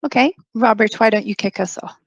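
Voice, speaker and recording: A woman's voice: medium pitch, brisk, breathy edge, no echo, muffled recording. A woman moderating a corporate panel in a polite, formal, scripted way.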